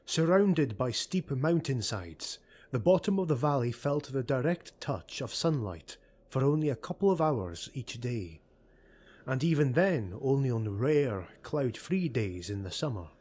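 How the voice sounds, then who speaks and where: Husky male audiobook narrator. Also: medium pitch, darkish tone. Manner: measured, dramatic storytelling.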